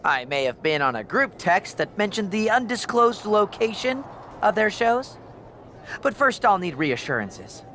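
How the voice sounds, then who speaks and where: A whiny, sarcastic captive in a video game cutscene. His sharp voice jumps around in pitch with self-pity, over faint background noise. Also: very animated, measured pace, full character voice. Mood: sly, bargaining.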